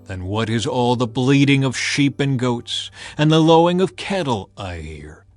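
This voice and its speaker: A grave old prophet who declaims stern messages, as a male narrator reads him. His voice sits at a middle pitch with soft music behind. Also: expressive, unhurried, dry recording, lightly acted.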